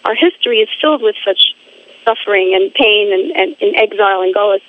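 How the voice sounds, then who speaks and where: A woman speaking as a guest in a phone interview, halting and repeating words while she searches for her phrasing. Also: mid-range voice. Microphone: phone line. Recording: tinny phone line.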